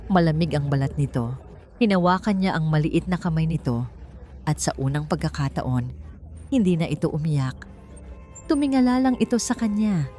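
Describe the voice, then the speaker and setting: A woman's voice: sits low, warm tone. A woman narrating a scripted dramatic story.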